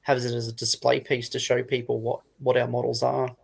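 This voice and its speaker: A man's voice: mid-range voice, completely flat delivery, fairly quick, gravelly, breathy edge. A man, a hobby retailer, chatting casually as a guest.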